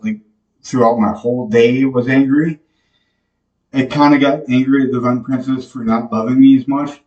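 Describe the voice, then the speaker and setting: A young man delivers a slow, meandering monologue straight to camera, trailing off and restarting with plenty of fillers. He sits a few feet from the microphone in a small, bare, echoey room, so his voice sounds distant and roomy. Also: mid-range voice, darkish tone, highs cut off. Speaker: podcast host. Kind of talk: rambling devotional monologue. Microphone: camera mic from a few feet away.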